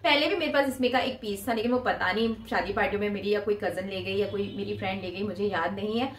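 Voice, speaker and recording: A woman's voice: medium pitch, quick pace, reverberant. A woman in her twenties talking casually to camera in a shopping haul vlog, holding up items as she speaks. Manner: chatty, showing items to camera with her hands. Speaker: vlogger. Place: small room indoors.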